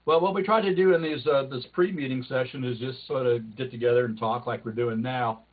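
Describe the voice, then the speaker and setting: A man's voice: mid-range voice, steady tone, fairly quick. A man hosting a club meeting over a video call, who jokes and rambles as he talks. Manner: joking, rambling. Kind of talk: casual video call chat. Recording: tinny call audio.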